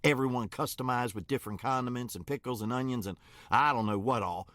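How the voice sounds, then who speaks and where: A man's voice: mid-range voice, fast, fairly bright tone, no room sound, studio quality, close to an everyday voice. A man playing a flustered, dim small-town shop worker in a comedic audio drama, stammering and rambling in a folksy, nervous way. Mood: rambling, sheepish.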